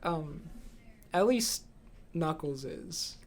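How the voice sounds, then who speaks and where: A man's voice: a little high, expressive, drawn-out words. A young American man co-hosting a podcast in a casual, conversational style. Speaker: podcast co-host. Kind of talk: casual chat.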